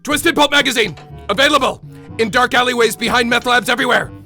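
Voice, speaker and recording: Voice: mid-range voice, quick pace, bright, forceful tone, soft background music. An actor playing a gleefully sinister mad-doctor host in an over-the-top parody radio ad, theatrical and exclamatory. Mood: sly, gleeful.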